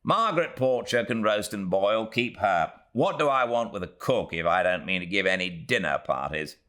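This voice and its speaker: A man's voice: on the low side, animated, moderate pace, a bit bright. A man voicing a curt, tight-fisted and impatient Victorian baronet with a British accent, in an audiobook. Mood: brusque. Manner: brusque, commanding. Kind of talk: audiobook character voice.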